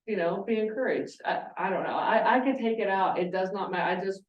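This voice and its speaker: A woman's voice: mid-range voice, brisk, highs cut off. A woman with a Southern US accent discussing a draft in a committee meeting, self-deprecating and repeating herself. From American South. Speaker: committee member drafting the report. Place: county meeting room. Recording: echoey meeting room, far mic.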